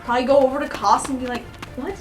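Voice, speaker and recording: A woman's voice: high voice, steady tone, fast talker, faint music behind. A woman casually improvising as a tabletop player, speaking a little hesitantly. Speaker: tabletop role-playing player. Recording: echoey room, distant from mic.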